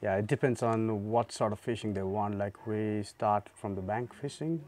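A man in his thirties who owns a guesthouse, answering interview questions outdoors in a relaxed and halting way. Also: lowish voice. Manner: relaxed, halting, with many fillers. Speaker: guesthouse owner. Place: outdoor garden by a lake.

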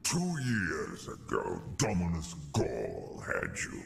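A decadent alien emperor from a video game, booming and theatrical, savoring every word and sinking to a whisper. His voice is very deep and gravelly, with a huge pitch range and an unhurried delivery. Steady ambient noise runs behind him. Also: heavily acted. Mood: fond. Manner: savoring words, drops to whispers. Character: pompous decadent alien emperor, grand and indulgent. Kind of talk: video game cutscene dialogue.

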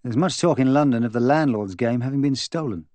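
A man voicing a sociable young gentleman who shares gossip in a confiding, conversational manner, as in an audiobook. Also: mid-range voice, a little fast, no room sound, voice pushed up, natural voice. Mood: gossipy, confiding.

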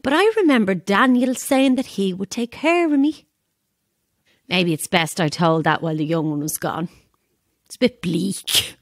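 An actress with an Irish accent playing a brisk, no-nonsense, plain-spoken theatre worker in a naturalistic audio drama. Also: female voice, medium pitch, no echo, studio quality, natural voice. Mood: grim, matter-of-fact.

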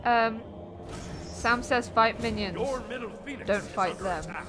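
High-voiced Yorkshire woman gaming, swearing. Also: slow. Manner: swearing, joking, mock-dramatic groans. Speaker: gamer streaming with a friend. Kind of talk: casual gaming chat. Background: loud game music.

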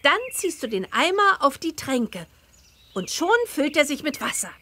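A woman's voice: sits high, big pitch swings, unhurried, bright, forceful tone, a little hiss or hum, exaggerated voice. A woman voicing a helpful, chirpy teenage girl who gives instructions in a video game. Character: perky, helpful teenage girl witch with horses. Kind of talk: scripted video game tutorial dialogue.

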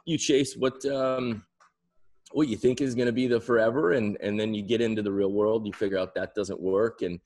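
A middle-aged man from the American Midwest with an American accent, telling stories casually as an interview guest, rambling and pausing mid-thought. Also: lowish voice, steady tone, a little mellow. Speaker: interview guest, winery founder. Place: indoor room at home, on a video call.

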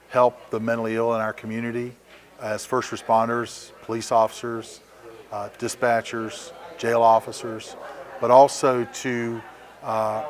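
A man in his fifties, a police captain, giving halting, measured interview remarks. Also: mid-range voice. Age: 50s. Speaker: police captain, crisis team coordinator.

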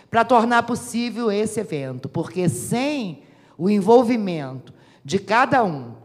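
A middle-aged woman with a Brazilian Portuguese accent giving a formal opening speech at a panel table on a handheld mic, hesitant with many fillers and restarts. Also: mid-range voice. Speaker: academic association representative. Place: large auditorium, seated at a panel table. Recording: long hall reverb.

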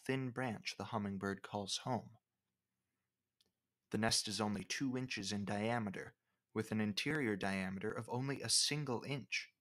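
A man reading scripted educational nature narration in a measured, formal way. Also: lowish voice, a bit bright.

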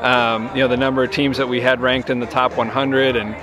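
Coach talking after the game outdoors. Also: male voice, mid-range voice, little pitch movement, music in the background, low-quality recording. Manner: measured, many you-knows and restarts. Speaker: head baseball coach. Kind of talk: postgame interview. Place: outdoors on a ballfield at night.

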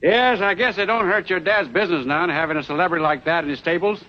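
A man's voice: high-pitched, fast, natural voice. A middle-aged man playing a friendly, folksy frontier townsman in a TV western. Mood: affable. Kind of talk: 1960s TV western acting. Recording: dull vintage TV soundtrack, faint noise.

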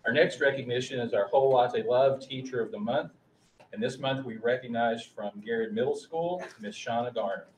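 A man's voice: mid-range voice, normal speed. Middle-aged male official, formal presenter reading aloud, picked up from far off in an echoing room. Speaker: school official presenting staff and student awards. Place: large meeting room, indoors. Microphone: distant room microphone. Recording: roomy, distant mic, faint noise.

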